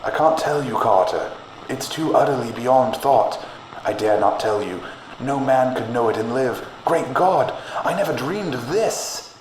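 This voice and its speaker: A man voicing a terrified, despairing man who urgently warns his friend in a hoarse, strained voice, in a horror audiobook. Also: medium pitch, animated, pitched above the performer's own voice. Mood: horrified. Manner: urgent, halting pleas. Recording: echoey, noisy distant-sounding line.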